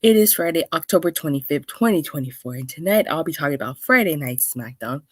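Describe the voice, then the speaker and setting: Woman, hoarse, stuffy, deep-pitched, dry close sound, chatty reviewer, rambling. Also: expressive, fast, dry recording. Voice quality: clogged, stuffy voice. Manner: chatty, rambling, with many restarts and fillers. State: hoarse, under the weather. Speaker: wrestling show reviewer, host. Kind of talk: casual solo review talk.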